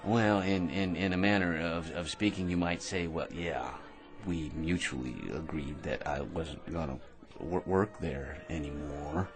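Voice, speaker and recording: An actor playing a self-important, talkative loser at a bar, pompous and stammering, in a radio drama. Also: male voice, extremely low pitch, unhurried, over music. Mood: defensive, blustering. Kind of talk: old-time radio drama acting.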